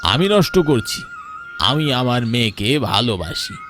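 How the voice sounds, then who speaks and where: A man playing a proud, doting middle-aged landlord in an animated folk tale, speaking earnestly and emphatically. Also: medium pitch, a little slow. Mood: indignant. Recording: music behind the voice.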